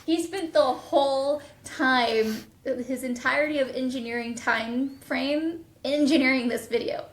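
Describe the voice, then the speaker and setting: A woman's voice: high voice, measured pace. A woman chatting casually as a guest in an interview about creative production work. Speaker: audio drama writer and producer, interview guest. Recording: echoey, roomy sound.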